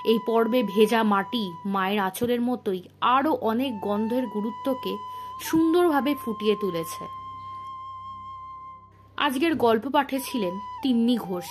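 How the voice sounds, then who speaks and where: A woman hosting a story podcast, delivering a scripted introduction and outro. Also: fairly high pitch.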